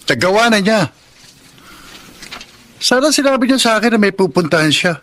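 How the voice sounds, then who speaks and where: A man's voice: high voice, expressive, normal speed, fairly bright tone. An actor with a Filipino accent playing a young, frank and loyal male friend in a radio drama. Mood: hurt, resentful. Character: young man, teasing but honest, aggrieved friend. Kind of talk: Tagalog radio drama acting.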